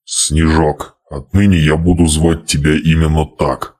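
A man voicing a tall wizard who is sardonic but soft-hearted, speaking low, dry and stern. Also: flat delivery, measured pace.

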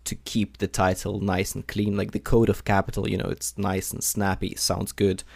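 A man's voice: fairly low pitch, flat delivery, moderate pace, creaky, a little mellow, dry recording, studio quality. A young man from Ukraine hosting a podcast interview, curious and chatty, with many fillers. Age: young adult. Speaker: podcast host. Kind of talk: conversational interview.

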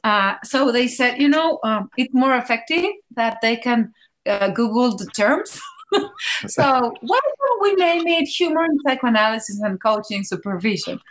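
A woman's voice: a little high, moderate pace, slight background noise, rough recording. Laughing woman psychotherapist guest. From Mexico. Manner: laughs often, lively storytelling. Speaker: podcast guest, psychotherapist and coach. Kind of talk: casual conversation.

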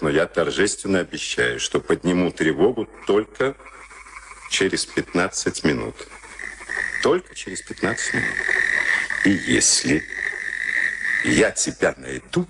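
An actor in a Soviet radio play, playing a sadistic, smug SS camp officer with a taunting, mock-polite manner. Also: male voice, low-pitched. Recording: noisy archival radio drama recording.

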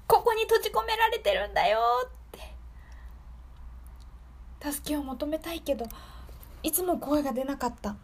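A woman playing a frightened captive locked in a room, in a dramatic, halting monologue for an improvised radio drama. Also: high voice, a little hiss or hum, pitched above the performer's own voice. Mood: pleading, afraid. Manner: dramatic, halting, breathy at times, with emphatic repetition.

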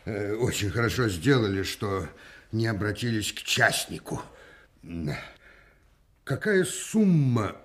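Slow, flustered provincial official. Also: male voice, on the low side, very animated, fairly bright tone, exaggerated voice. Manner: hesitant, stammering, muttering repeated agreement. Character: flustered, gullible middle-aged male provincial Soviet official. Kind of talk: Russian radio play acting.

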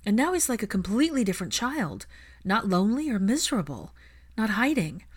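A woman's voice: medium pitch, sing-song, fairly quick, slightly rough, pitched above the performer's own voice. A woman voicing an earnest, grateful young witch with a polite, emotional manner in a story reading. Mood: tearful pride.

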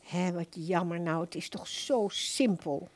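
A woman playing a simple, earnest customer in a radio play, plain-spoken, puzzled and gently persistent. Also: lowish voice, measured pace. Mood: earnest, disappointed. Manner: plain-spoken, questioning, insistent.

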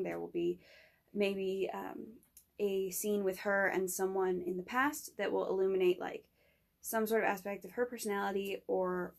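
A young woman speaks as a student guest making an informal pitch. Her voice sits at an average pitch and crackles with vocal fry. Her phrasing is loose and a little tentative. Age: young adult. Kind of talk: informal spoken pitch in an interview.

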